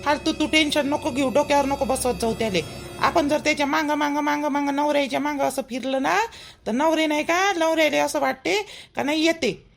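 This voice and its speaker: A woman's voice: high voice, steady tone, a little fast, gravelly, faint music behind. A woman playing a protective middle-aged village mother-in-law in a cartoon, chatty and emphatic, with a Vidarbha Marathi accent. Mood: reassuring, firm. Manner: chatty, emphatic, repeats herself. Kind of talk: homely cartoon drama dialogue.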